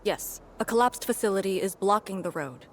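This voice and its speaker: A woman playing a stoic, battle-worn female field leader in a video game, speaking gravely and in measured phrases. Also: medium pitch, animated, a little hiss or hum, close to an everyday voice. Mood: businesslike.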